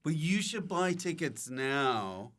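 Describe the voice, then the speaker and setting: A man's voice: on the high side, very animated, slow, a bit bright. A man hosting a podcast in casual comedic chat, full of joking, mock-abusive banter. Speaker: podcast host.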